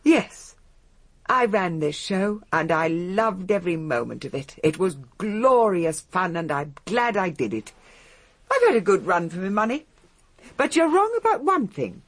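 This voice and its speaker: A middle-aged actress playing a bold, haughty aristocrat in a British radio drama, with an upper-class English accent and a theatrical delivery. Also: female voice, mid-range voice, big pitch swings, a little slow. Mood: defiant, exultant. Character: bold, haughty aristocratic mother, secretly a crime boss. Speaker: actor in a radio mystery drama.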